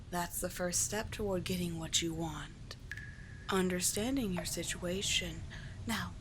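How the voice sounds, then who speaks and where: A woman playing a cunning, doting sea witch in an ASMR roleplay, breathy and measured, with coaxing, condescending endearments. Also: on the low side, lightly acted. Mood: coaxing, teasing. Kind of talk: ASMR roleplay, scripted monologue. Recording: clear steady background ambience noise.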